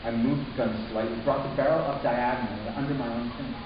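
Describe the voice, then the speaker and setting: Man as troubled human youth, intense first-person storytelling, deliberate pauses, resentful, muffled sound, clear hiss behind. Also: mid-range voice, steady tone, close to an everyday voice. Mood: defiant, tense. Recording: distant, very echoey room, muffled, hissy.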